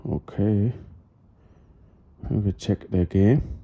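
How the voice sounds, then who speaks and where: Slow-paced non-native English-speaking man, product tutorial. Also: fairly low pitch, highs cut off. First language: not English. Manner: halting, repeats phrases. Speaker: product demonstrator. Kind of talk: step-by-step tutorial, unscripted.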